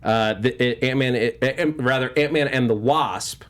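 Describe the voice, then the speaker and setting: A man hosting a casual panel discussion about films, giving his opinions in a halting, rambling way. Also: mid-range voice. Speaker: panel show host.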